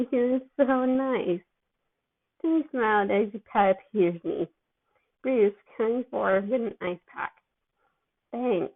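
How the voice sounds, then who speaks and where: A woman reading a story aloud as an amateur narrator, prone to giggling as she goes. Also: medium pitch. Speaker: amateur fan fiction reader. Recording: muffled phone-like lo-fi audio.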